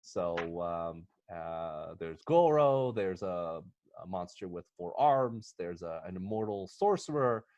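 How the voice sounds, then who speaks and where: Halting man hosting, dry close sound. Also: deep voice, animated, darkish tone, no echo, lo-fi sound. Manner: halting, teasing. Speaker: podcast host. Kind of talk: casual banter about movies.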